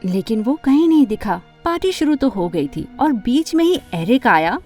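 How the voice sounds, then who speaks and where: An earnest teenage girl narrating an animated drama. Her voice is high and soft-edged, with very lively swings in pitch. She is expressive and emotional, with music under the voice. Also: normal speed. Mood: wistful narrating. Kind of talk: first-person animated story narration and dialogue.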